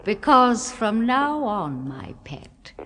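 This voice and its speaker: An actress playing a coolly vengeful wife in a 1970s radio horror play, cooing condescending endearments with sweet cruelty on a noisy old recording. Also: female voice, a little high, sing-song, slow pace, bright, forceful tone, full character voice. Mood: menacing, gloating.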